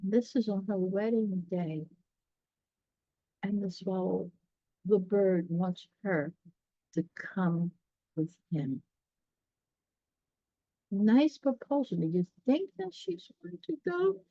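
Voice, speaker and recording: Slow-paced woman storyteller, muffled sound. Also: mid-range voice, no room sound. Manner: unhurried, explanatory, addressing listeners directly. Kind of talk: read-aloud children's story with chatty asides.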